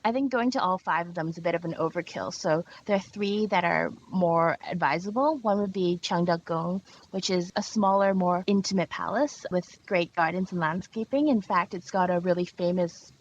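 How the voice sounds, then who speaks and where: A woman's voice: lowish voice, normal speed, few pauses. A young woman from Hong Kong and Canada, answering conversationally as a guest in a travel interview. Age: young adult. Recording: dull, noisy remote call.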